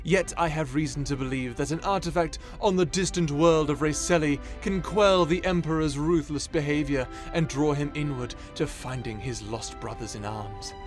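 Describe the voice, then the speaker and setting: A man's voice: on the high side, normal speed, few pauses, soft background music, natural voice. A man playing a snarky, blunt, irreverent space adventurer in an audio roleplay drama. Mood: mocking. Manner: sarcastic, blunt, casual wording.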